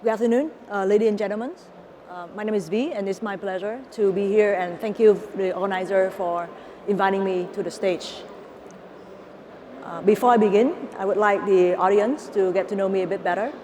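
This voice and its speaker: A woman's voice: mid-range voice, fairly even intonation, fairly quick, mellow. A woman in her thirties with a Vietnamese accent, giving a prepared stage presentation as a panelist. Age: 30s. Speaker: panelist, venture capitalist. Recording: reverberant hall with clear ambient noise.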